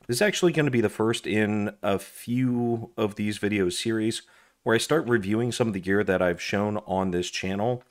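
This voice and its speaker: A man who is hoarse and losing his voice, chatting casually with lots of fillers while he reviews his gear at a desk indoors. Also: lowish voice, moderate pace. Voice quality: raspy. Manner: chatty, rambling, with frequent fillers and restarts. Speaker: gear review channel host. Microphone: overhead camera on desk, hands only.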